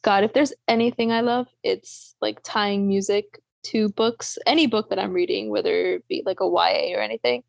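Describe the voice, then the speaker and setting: A woman's voice: mid-range voice, steady tone, moderate pace, creaky, few pauses, no echo. A woman chatting casually as a book podcast co-host, bubbly and gushing with a giggly way of talking.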